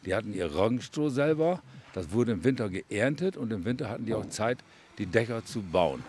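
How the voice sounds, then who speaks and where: A man's voice: lowish voice, animated, a little fast, a little mellow, a little hiss or hum. A man working as a roofer, explaining his craft plainly in an interview. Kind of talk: interview recollection.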